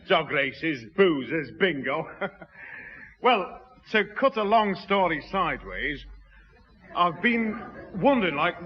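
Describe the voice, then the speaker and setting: An actor playing a jovial middle-aged Northern English bachelor who pompously muddles fancy words in a 1960s radio sitcom. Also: male voice, high-pitched. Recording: muffled, hissy, reverberant 1960s radio recording.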